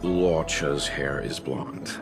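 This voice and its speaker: A calm, low-voiced skeptic. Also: male voice, takes it slowly, heavily acted. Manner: level, matter-of-fact, dry. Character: calm, reserved young man, dry skeptic. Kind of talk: video game dub. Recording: game cutscene with music behind.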